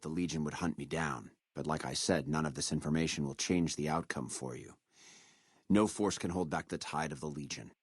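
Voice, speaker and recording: An actor playing a proud, menacing captured military officer in a video game, with a measured, contemptuous delivery. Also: male voice, extremely low pitch, dry recording, studio quality. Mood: guarded, grave. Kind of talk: video game character dialogue.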